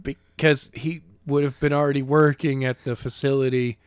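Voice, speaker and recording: A man co-hosting a podcast in casual chat, dropping wry, deadpan quips. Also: mid-range voice, normal speed, smooth, no echo, clean studio sound. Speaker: podcast co-host and narrator.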